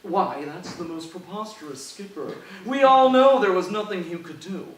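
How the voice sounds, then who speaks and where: A man's voice: high voice, lively intonation, normal speed, far from the mic. A man performing in a staged comedy reading, moving between casual banter and script lines. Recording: echoey room, off mic.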